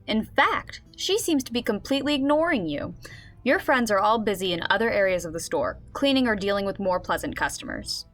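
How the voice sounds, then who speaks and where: A woman playing an expressive game master, narrating a fantasy story in a scripted radio drama. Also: mid-range voice, brisk, soft background music, close to an everyday voice. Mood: storytelling.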